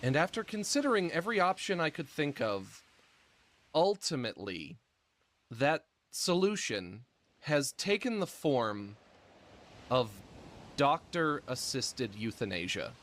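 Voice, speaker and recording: Breathless man with a squeaky throat. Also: sing-song, fairly bright tone, slight background noise. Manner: halting, foggy, slowing down mid-sentence. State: mucus-filled throat with a squeaky voice; short of breath, gasping before sentences. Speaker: video creator describing his illness. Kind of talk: personal vlog monologue.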